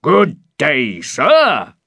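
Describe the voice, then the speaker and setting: A man's voice: medium pitch, sing-song, slow pace, a bit bright, dry recording, clean studio sound, exaggerated voice. A man voicing a friendly, practical innkeeper in a storybook, hearty and obliging in manner. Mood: loud, friendly. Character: loud, friendly, practical male innkeeper humoring an eccentric. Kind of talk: dramatized storybook reading.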